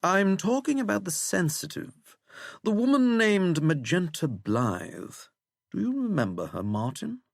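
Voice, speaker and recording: A man's voice: sits high, big pitch swings, measured pace, creaky, dry recording, studio quality, voice pushed up, exaggerated voice. A man voicing a suave, menacing English wizard in an audiobook, with a plummy accent and a languid, mocking delivery. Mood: quietly threatening. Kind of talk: audiobook character acting.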